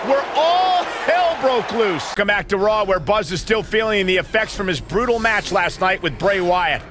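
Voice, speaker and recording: An actor playing an excited wrestling play-by-play commentator with dramatic, projected delivery in a video game. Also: male voice, sits high, normal speed. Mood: dramatic excitement. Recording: very noisy arena sound.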